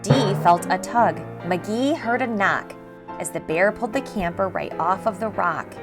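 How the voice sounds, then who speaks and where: Woman reading a rhyming kids book out loud, slow and expressive, soft music behind her. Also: mid-range voice. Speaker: storybook reader. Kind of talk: children's read-aloud of a rhyming picture book. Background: faint background music.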